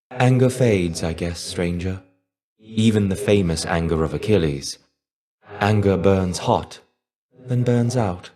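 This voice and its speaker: A fallen warrior's shade for a video game, low in pitch and weary. He speaks in measured, formal, slightly archaic phrases. His manner is melancholy and guarded, with an understated wryness. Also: a little mellow. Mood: wistful, subdued. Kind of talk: video game character voice acting.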